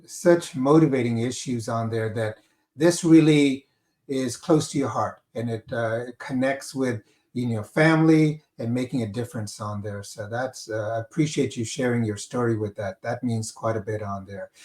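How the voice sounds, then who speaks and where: A man hosting a live interview, talking haltingly with many fillers and a repeated "on there". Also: medium pitch, darkish tone, roomy sound. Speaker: host, company director of school services.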